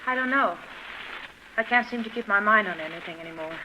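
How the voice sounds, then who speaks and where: An actress playing a fragile, anxious, refined wife in 1940s radio drama style. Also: female voice, medium pitch, brisk, lightly acted. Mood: strained, nervous. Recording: muffled, noisy vintage radio recording.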